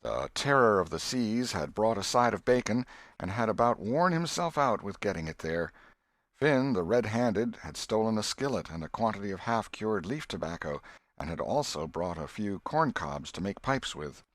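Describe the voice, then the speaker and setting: Male audiobook narrator, deep register, measured and expressive, close dry sound. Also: no echo. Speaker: audiobook reader. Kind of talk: scripted narration of a classic novel.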